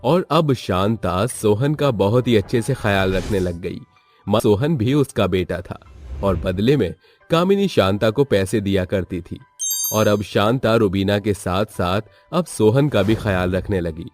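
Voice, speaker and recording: A man's voice: fairly low pitch, expressive, measured pace, darkish tone, soft background music. A man narrating a scripted story as the storyteller.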